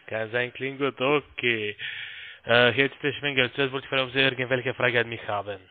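A man working as a call centre account agent, speaking politely and haltingly on a sales call. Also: medium pitch, steady tone. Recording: tinny phone line.

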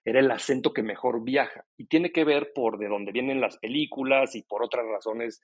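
Husky-voiced man explaining at length. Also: medium pitch, normal speed. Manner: explanatory, fluent, many tag questions. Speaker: podcast company co-founder, guest. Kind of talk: casual interview talk.

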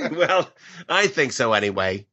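A man's voice: a little high, wildly swooping pitch, takes it slowly, bright, forceful tone, breathy edge, highs cut off. A man co-hosting a podcast, speaking in casual, lightly scripted banter. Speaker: podcast co-host.

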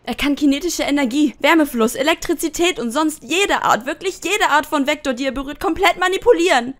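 A woman's voice: sits high, brisk, fairly bright tone. A woman voicing an anxious, hot-tempered teenage girl in an emotional, pleading manner for an anime fan dub. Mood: desperate, shouting. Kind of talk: dramatic anime dub acting. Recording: fan dub with music underneath. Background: background music.

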